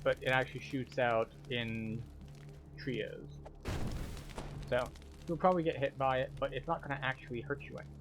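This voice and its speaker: A man's voice: medium pitch, fairly even intonation, moderate pace, long pauses, over music. A man narrating a video game walkthrough as a tour guide, explaining each step. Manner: explanatory, guiding.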